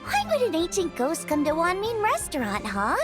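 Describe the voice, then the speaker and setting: A woman voicing a cheerful, down-to-earth young girl cook in a video game, youthful and expressive. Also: very high voice, normal speed, over music, heavily acted. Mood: teasing disbelief. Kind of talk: animated video game voice acting.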